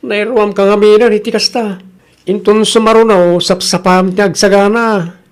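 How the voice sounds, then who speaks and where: A man's voice: high voice, flat delivery, moderate pace, natural voice. A man acting in an Ilocano comedy radio drama as a nagging, reproachful relative who lectures on punctuality, with an Ilocano accent.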